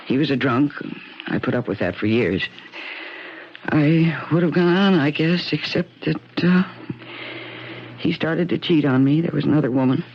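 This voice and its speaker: A woman's voice: low-pitched, moderate pace, long pauses. An American actress playing a weary, distressed middle-aged boarding-house landlady in a 1970s radio drama. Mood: weary, bitter. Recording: dull, noisy vintage radio broadcast.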